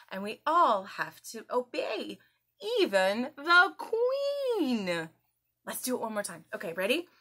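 Woman, 30s, children's story time presenter. Bright, very lively intonation, encouraging and exaggerated delivery. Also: mid-range voice. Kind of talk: children's lesson, addressing young viewers directly. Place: small indoor room at home.

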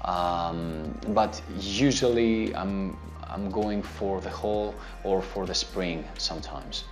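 A man in his thirties with a Greek accent, answering viewer questions about guitar in a relaxed, step-by-step way with plenty of fillers. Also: sits low, takes it slowly. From Greece. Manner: gestures with his hands while talking. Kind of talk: casual instructional talk to camera. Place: indoor studio with a plain grey backdrop. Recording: soft background music under the voice.

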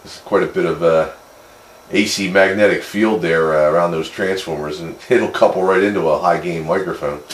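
A deep-voiced man chats casually about technical things as a radio operator. His voice sits in an echoey room with clear background noise. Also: steady tone, normal speed. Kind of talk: casual technical chat over two-way radio.

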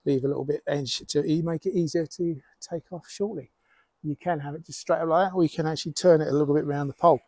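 A middle-aged man explaining and demonstrating as a hobbyist presenter, in measured short phrases with pauses. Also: on the high side, fairly even intonation, darkish tone, dry recording. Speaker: amateur radio hobbyist presenter.

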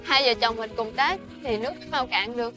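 A young peasant wife from a fairy-tale drama, played by a woman, gentle and dutiful, speaking in a soft, pleading way with a Southern Vietnamese accent. Her voice sits a little high, and music plays clearly behind it. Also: fairly quick, lightly acted. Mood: tired, reassuring.

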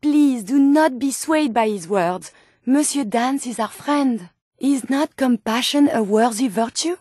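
A high, closely recorded female voice with a French accent, an earnest video game medical robot who is learning to be human. She speaks in formal, carefully chosen words, with open curiosity and tender feeling. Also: measured pace, husky, dry recording, studio quality. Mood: stern, reproachful. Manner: precise, formal, expressive diction. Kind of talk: video game character dialogue.

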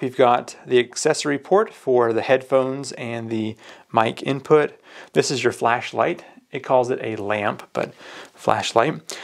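A man's voice: medium pitch, vocal fry. A man explaining plainly, step by step, in a casual hands-on product walkthrough. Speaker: product reviewer. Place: indoors at a work table.

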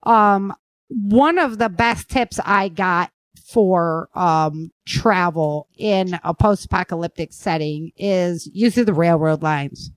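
A woman's voice: lowish voice, slow pace, no echo. Woman chatting casually, dry close sound. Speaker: podcast host and fiction writer. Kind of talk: casual survivalist chat.

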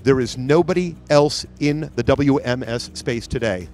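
A man's voice: medium pitch, measured pace, a little mellow. Trade-show presenter, man, 40s, salesy and upbeat, handheld mic, faint music under. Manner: upbeat, salesy, pointing things out. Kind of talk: live product demo narration. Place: large convention hall trade booth.

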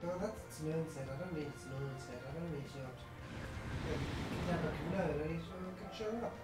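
A streamer rambles through a gaming session, reading out viewer messages between thoughts. The voice sits in a roomy, echoing space. Game music plays clearly underneath. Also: male voice, on the high side, a little fast. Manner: rambling, reads chat messages aloud. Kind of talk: casual gaming chat.

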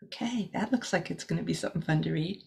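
A middle-aged woman reading a children's storybook aloud as a teacher, expressive and deliberate, with small asides to the listeners. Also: lowish voice, creaky, husky. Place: small room indoors.

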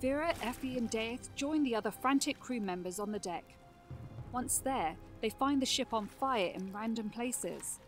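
A woman's voice: a little high, animated, normal speed, soft background music. A woman reading scripted narration for a fantasy audio drama.

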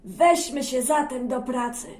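A woman playing a kind, wise king in a children's fairy tale, speaking gently and deliberately. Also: sits high, reverberant. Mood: encouraging. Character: kind, wise king seeking counsel from messengers.

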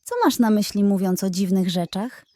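A woman's voice: mid-range voice, moderate pace, warm tone, dry recording, close to an everyday voice. Woman voicing a sensible girl animal friend. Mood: thoughtful.

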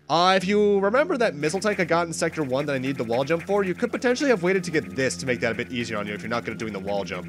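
Stuffy-nosed young man commenting on gameplay. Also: fairly high pitch. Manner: chatty, self-correcting, occasional laughs. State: stuffy nose. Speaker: let's play host. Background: game music.

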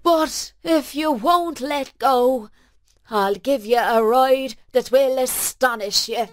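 Woman putting on a slow, menacing storybook dragon. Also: high voice, no room sound, voice pushed up. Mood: indignant, threatening. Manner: drawn-out, loud, threatening. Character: menacing, boastful, greedy male storybook dragon.

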